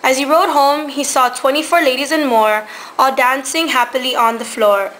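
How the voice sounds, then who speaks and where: High, bright young woman storyteller. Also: fairly even intonation, normal speed, low-quality recording. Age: teenager. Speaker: narrator. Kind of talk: scripted storytelling narration. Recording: amateur recording with faint noise.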